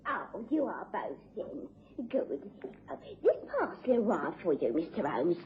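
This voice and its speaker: An actress playing a homely, fussy English housekeeper in a radio drama, fluttery in manner. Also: female voice, fairly low pitch, big pitch swings, unhurried. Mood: bustling. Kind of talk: BBC radio drama acting. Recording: muffled, noisy vintage radio recording.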